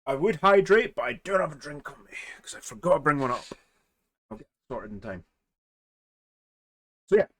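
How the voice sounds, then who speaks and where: A man with a slightly hoarse voice, hosting a casual, rambling hobby livestream in an informal way. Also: on the high side, sing-song, moderate pace, long pauses, a bit bright. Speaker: live stream host.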